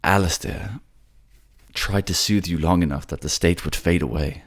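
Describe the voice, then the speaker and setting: A man's voice: sits low, normal speed. Man playing a tender, stammering young fantasy prince. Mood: somber, halting. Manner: hesitant, stammering. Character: kind, tender young prince, shaken and remorseful. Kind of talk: fantasy audio drama acting.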